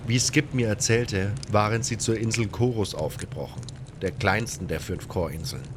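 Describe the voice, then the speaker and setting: A man's voice: fairly low pitch, moderate pace, background noise. A man reading a first-person adventure story as a scripted audiobook narration.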